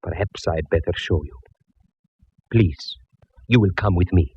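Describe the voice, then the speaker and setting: An actor playing a fussy middle-aged restaurant owner, speaking with formal, anxious old-world politeness, on a muffled old radio broadcast. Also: male voice, sits low, a little slow, loud music behind the voice. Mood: nervous, formal. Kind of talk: 1940s radio acting.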